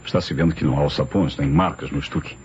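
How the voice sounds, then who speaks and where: A man with a Brazilian accent playing a brisk, methodical police inspector in an old radio drama, questioning formally, on a muffled, noisy recording. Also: very deep voice, a little mellow.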